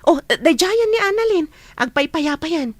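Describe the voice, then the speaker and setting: A woman's voice: very high voice, sing-song, a little slow, no room sound, heavily acted. An actress playing a kind, discreet young woman in a radio drama, earnest and solicitous. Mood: evasive.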